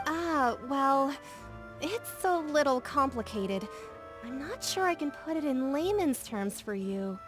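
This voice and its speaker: A woman's voice: high-pitched, animated, takes it slowly, over music. A woman playing a friendly, practical female workshop merchant in a video game. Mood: explaining.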